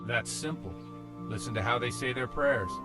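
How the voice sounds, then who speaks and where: An actor playing a cautious, protective older village priest in a video game, speaking plainly and earnestly. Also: male voice, medium pitch, moderate pace, close to an everyday voice, rough recording. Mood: earnest, resolute. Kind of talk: video game cutscene acting. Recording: music behind the voice.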